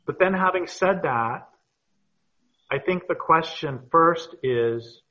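A man's voice: a little high, expressive, slow pace, bright, forceful tone. A man speaking thoughtfully as a panel guest on a video call, telling stories unhurriedly. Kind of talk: reflective talk on an online call. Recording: muffled video call audio.

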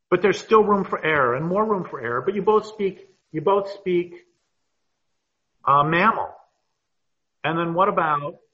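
A man speaking thoughtfully as a panel guest on a video call, telling stories unhurriedly. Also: fairly high pitch. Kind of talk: reflective talk on an online call. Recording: muffled video call audio.

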